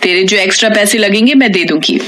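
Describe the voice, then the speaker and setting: A woman's voice: medium pitch, fast talker, fairly bright tone, close to an everyday voice. A haughty middle-aged lady of the house, put on by a female narrator in a moral story. She is sly and curt, ordering people about in a bossy tone.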